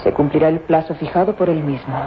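An actress playing an explorer's earnest, thoughtful grown daughter with measured old radio drama delivery. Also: female voice, low voice, fairly even intonation, close to an everyday voice. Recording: dull, noisy vintage radio recording.

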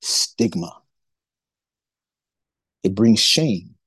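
A man from Nigeria co-hosting a faith-based podcast, talking in an animated, preachy way and acting out the voices he quotes. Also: fairly low pitch, extremely slow, breathy edge, no echo. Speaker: podcast co-host.

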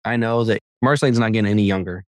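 A man's voice: lowish voice, fast talker, no room sound. A man in his thirties co-hosting a podcast, joking with his co-host and wrapping up the episode in an upbeat way. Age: 30s. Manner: teasing, upbeat, wraps up the show. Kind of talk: podcast conversation.

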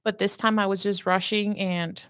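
A woman's voice: mid-range voice, moderate pace, no echo. Pastry chef lady, chatty storyteller, pokes fun at herself, dry close mic. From Mexico. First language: Spanish. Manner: chatty storyteller, animated, self-deprecating. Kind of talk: casual interview chat.

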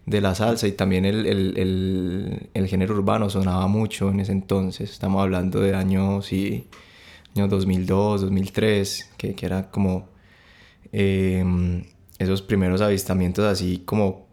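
A young man with a Bogotá Colombian accent, telling his story as a podcast guest in a halting, relaxed way with many restarts. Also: deep voice.

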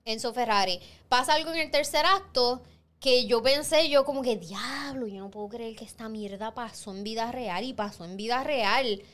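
A woman's voice: on the high side, moderate pace, fairly bright tone. Ranting woman swearing about films. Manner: passionate, ranting, swears often. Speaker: podcast co-host. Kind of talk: casual chat about movies.

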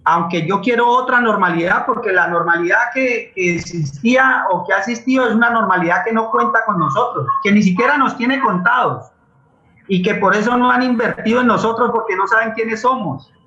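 A Colombian man speaking as a guest in an impassioned conversational interview, halting and repeating words as he searches for them. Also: high-pitched, sharp tone. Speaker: guest playwright and actor. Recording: dull lo-fi roomy online call.

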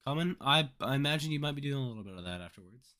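Laid-back young man, lively casual chat. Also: medium pitch, rapid-fire. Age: young adult. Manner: laid-back, laughs often, swears casually. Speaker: high school senior. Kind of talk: casual chat among friends.

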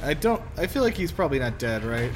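A man's voice: fairly high pitch, very animated, fast, music in the background. A man hosting casual film commentary, incredulous and joking, in a conversational style. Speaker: podcast host giving film commentary. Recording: film soundtrack playing behind.

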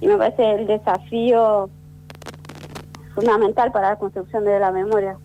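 A woman guest, French by first language, speaking thoughtfully with many pauses and restarts in an interview. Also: mid-range voice, flat delivery, dark tone, muffled recording, slight background noise. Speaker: interview guest, actress and writer. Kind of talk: conversational interview.

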